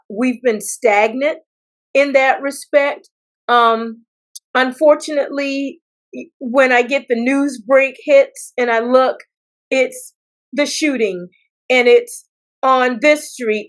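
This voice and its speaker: An American woman from South Carolina answering interview questions as a political candidate, speaking in deliberate phrases with pauses. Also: fairly high pitch. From South Carolina, United States. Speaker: political candidate, attorney and former judge.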